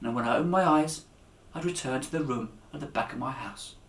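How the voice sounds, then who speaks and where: Echoey-room male storyteller, dramatic and expressive. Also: mid-range voice, fairly quick. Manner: dramatic, with raised voices for dialogue and hushed endings. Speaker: author reading his own children's book. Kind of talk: expressive storytelling read aloud to children.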